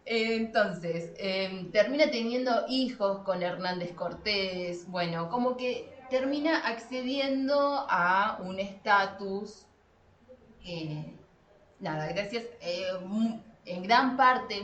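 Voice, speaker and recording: A woman co-hosts a casual podcast discussion in a playful, wry way. Her voice sits at a medium pitch. The room rings with echo and there is some background noise. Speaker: podcast co-host. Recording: roomy echo, clearly noisy background.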